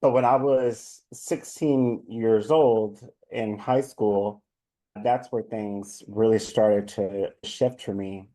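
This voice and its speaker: Reflective low-voiced man from New York. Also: steady tone, slow, warm tone. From New York City. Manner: open, reflective, with frequent restarts. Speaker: life coach, guest. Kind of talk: conversational storytelling.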